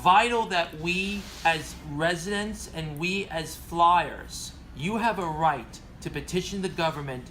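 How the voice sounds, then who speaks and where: A man's voice: on the high side, measured pace, hardly any pauses, a bit bright, soft background music. A middle-aged man presenting earnest aviation commentary, partly read from prepared notes, occasionally stumbling over his words. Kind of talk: prepared commentary read aloud with informal asides.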